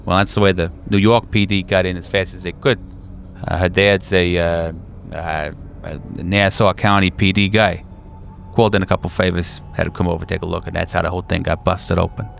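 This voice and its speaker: A man playing a weary, hardened veteran handler in a tabletop roleplay, talking in a halting, offhand way that trails off. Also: sits low, level tone, creaky, a little hiss or hum. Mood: matter-of-fact, halting. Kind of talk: improvised tabletop roleplay dialogue.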